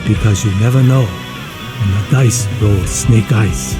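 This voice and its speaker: An actor playing a grave storyteller, narrating karate rivalries in a solemn, measured, dramatic scripted style for a video game. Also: male voice, lowish voice, loud music behind the voice.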